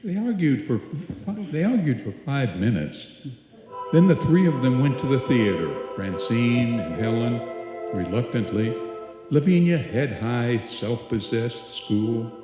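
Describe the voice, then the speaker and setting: A man's voice: mid-range voice, lively intonation, unhurried, warm tone, natural voice. A man narrating a scripted suspense radio play as its storyteller, performed live. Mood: measured, suspenseful. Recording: echoey hall, music behind, live stage.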